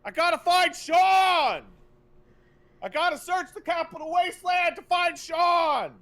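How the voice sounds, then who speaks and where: A man's voice: very high voice, a little slow, pushed and bright, pitched above the performer's own voice, full character voice. A man voicing a melodramatic, desperate father wailing for his lost son in a mock video game retelling. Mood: frantic, desperate. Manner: wailing, overdramatic.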